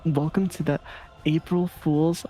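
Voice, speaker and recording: A young streamer girl in a game, voiced by a woman. The voice sits low, with sweet, halting patter for followers and faint music underneath. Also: level tone, warm tone, poor audio. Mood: polite, cutesy.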